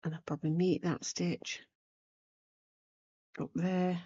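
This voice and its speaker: Croaky woman talking slowly while she works. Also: on the low side, flat delivery, mellow, highs cut off. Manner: unscripted, rambling, thinking aloud while working. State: croaky, hoarse after a cold. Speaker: craft tutorial host. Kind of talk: casual chatty needlework demonstration.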